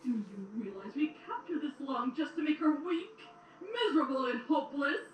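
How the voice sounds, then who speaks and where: A woman playing a cruel, menacing, imperious queen in an audio drama, theatrical and commanding with drawn-out words. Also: high voice, big pitch swings. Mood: furious. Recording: roomy, distant playback with some noise.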